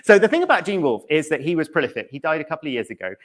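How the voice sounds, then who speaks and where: A man's voice: a little high, big pitch swings, racing. Man giving a conversational conference talk. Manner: wry, casual asides. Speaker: conference speaker, game studio narrative director. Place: conference room in front of an audience.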